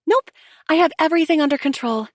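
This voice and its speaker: A woman's voice: high voice, fast talker, no room sound, pitched above the performer's own voice, close to an everyday voice. An upbeat, insistent young woman, voiced by an audiobook narrator pleads for peace in a raised, eager voice. Her delivery is pushy yet cheerful. The recording is close and dry. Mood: excited. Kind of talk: audiobook character voice.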